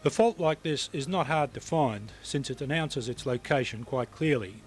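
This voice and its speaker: Higher-pitched man narrating, music behind. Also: moderate pace. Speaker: technical narrator of a training film. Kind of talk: scripted instructional narration. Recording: music behind voice, VHS tape transfer.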